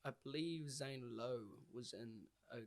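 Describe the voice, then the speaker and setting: A man's voice: medium pitch, measured pace. Casual New Zealand man chatting. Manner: informal and slangy. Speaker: podcast guest, hip-hop artist. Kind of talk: casual conversation.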